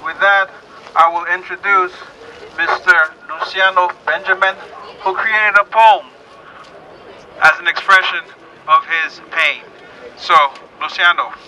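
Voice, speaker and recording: A man acting as emcee at an outdoor event, slowly introducing the next speaker. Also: high voice, fairly even intonation, pushed and bright, slight background noise, poor audio.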